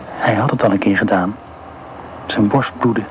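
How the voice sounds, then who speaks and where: An actor playing a haunted man who speaks in a slow, brooding monologue about blood and war, in a radio play. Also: fairly low pitch, background noise, lightly acted. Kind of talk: radio drama within a radio broadcast.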